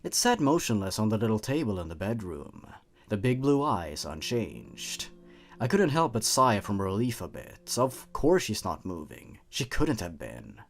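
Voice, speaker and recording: A man's voice: on the low side, very animated, measured pace. A man narrating scripted horror stories in a suspenseful, theatrical way.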